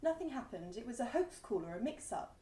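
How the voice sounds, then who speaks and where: A practical young British daughter played by a woman, brisk and a little touchy, with a high voice. It is radio drama in a very roomy acoustic with faint noise. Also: big pitch swings, mellow. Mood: matter-of-fact. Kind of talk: radio play acting. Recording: echoey room, faint noise.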